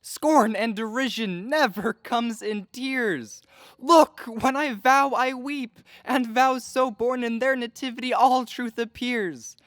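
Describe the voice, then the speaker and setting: A woman's voice: on the high side, slow pace, no echo. A woman playing an earnest, lovesick young male suitor in a Shakespeare audio drama, pleading in verse.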